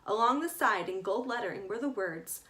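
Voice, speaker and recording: A woman author reading her adventure story aloud as an expressive narrator. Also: medium pitch, moderate pace.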